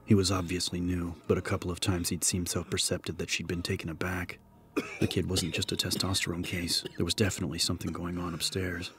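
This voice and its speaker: A man narrating an audiobook in a measured, solemn, scripted dramatic style. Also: deep voice, flat delivery.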